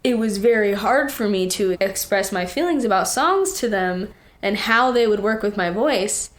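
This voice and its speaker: A teenage young woman chatting casually, telling stories in an animated way with acted-out quotes and plenty of "like". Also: mid-range voice, moderate pace. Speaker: young singer and songwriter, interview guest. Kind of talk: casual chat, storytelling.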